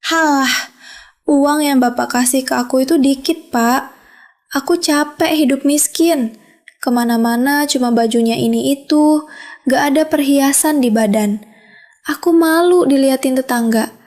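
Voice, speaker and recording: An actress voicing a greedy, discontented wife with a Javanese accent who whines and complains in a cartoon drama. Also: female voice, high voice, unhurried, darkish tone. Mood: complaining. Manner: whiny, complaining.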